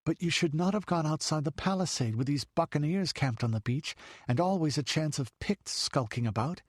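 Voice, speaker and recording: A man's voice: fairly high pitch, animated, moderate pace, no echo, clean studio sound, pitched above the performer's own voice. A man voicing an anxious young noblewoman who speaks tenderly to a child. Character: anxious noble young lady, gentle protector.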